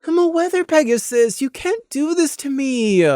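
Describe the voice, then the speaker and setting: Man voicing a high, whiny, indignant pony. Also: animated, normal speed, darkish tone, no echo, clean studio sound. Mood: upset, protesting. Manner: plaintive, indignant protesting. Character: upset young male pegasus pony, whiny and protesting.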